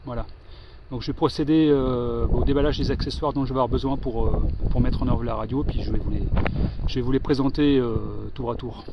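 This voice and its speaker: A man giving an informal hands-on equipment demonstration as an amateur radio operator, explaining things hesitantly and pausing to find his words. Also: mid-range voice, steady tone, highs cut off, noisy background.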